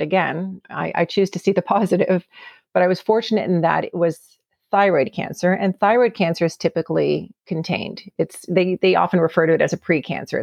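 A woman from Canada speaks with a gravelly, creaky texture, her voice sitting a little low. She tells her story earnestly and from the heart, sometimes doubling back on her words. The sound is close and dry, with no room around it. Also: no echo. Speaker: guest. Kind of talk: reflective personal storytelling.

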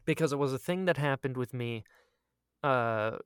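A man co-hosting a podcast in casual chat, speaking in an excitable, emphatic way and often repeating himself for emphasis. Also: mid-range voice, moderate pace, smooth, dry recording, studio quality. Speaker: podcast co-host and audio drama creator.

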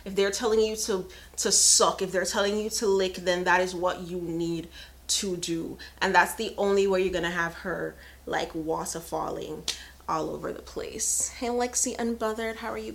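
A woman's voice: medium pitch, a bit bright. A woman in her thirties hosting a casual live podcast, chatting frankly and laughing often. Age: 30s. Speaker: podcast host. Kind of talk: casual live-stream chat.

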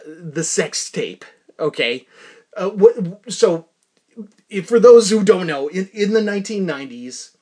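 A middle-aged man hosting a podcast in a rambling, casual monologue, animated, often interrupting himself and repeating words for emphasis. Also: a little high. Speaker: podcast host.

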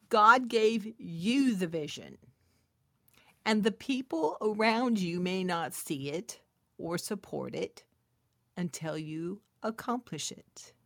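Casting: middle-aged female inspirational speaker, unhurried delivery, emphatic testimonial style with intimate asides, dry close sound. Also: on the high side, bright, forceful tone, no room sound. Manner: emphatic and testimonial, repeating phrases for weight; intimate, chuckling asides to the listener. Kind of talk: devotional talk addressed to listeners.

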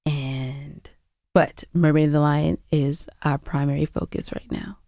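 Woman, slightly low voice, casual chatting, teasing, podcast co-host, dry close sound. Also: little pitch movement, unhurried, creaky, dark tone, no echo. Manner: teasing, playful. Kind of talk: casual chat.

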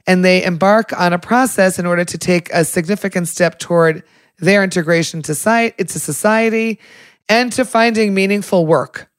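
Smooth-voiced woman hosting a podcast. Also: on the low side, moderate pace, no room sound. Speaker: podcast host. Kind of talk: interview.

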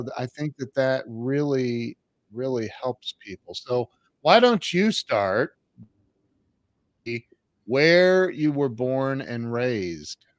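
A man's voice: medium pitch, no echo. A man hosting a talk show, interviewing a guest in a casual, informal and chummy way.

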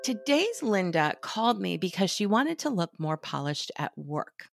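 A woman's voice: medium pitch, sing-song, a little slow, gravelly. A middle-aged woman hosting a style podcast in a friendly, chatty way, her voice a little scratchy from a recent cold. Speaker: podcast host, stylist. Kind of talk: scripted podcast talk.

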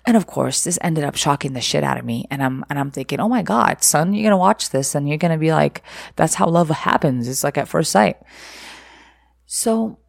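A woman's voice: low-pitched, animated, normal speed, vocal fry, dry recording, studio quality. A woman from Egypt talking casually to her viewers, candid and opinionated, rambling with restarts, rhetorical questions and laughing asides. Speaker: vlogger.

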